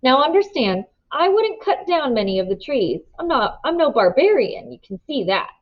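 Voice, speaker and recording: A woman voicing a smooth, scheming older man who talks in polite, ingratiating tones with quiet menace. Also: high voice, very animated, normal speed, voice pushed up. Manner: silky, ingratiating, then hard bargaining. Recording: dull lo-fi recording.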